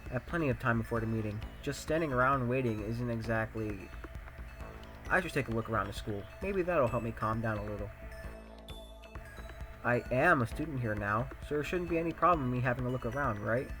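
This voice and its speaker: An earnest, anxious high-school boy, acted by a man, thinks out loud in a mid-pitched voice. He narrates in the first person like a visual novel hero, questioning himself as he goes. Music plays clearly behind him. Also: lively intonation, a little fast, lightly acted. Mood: nervous, hopeful. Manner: self-questioning, musing aloud.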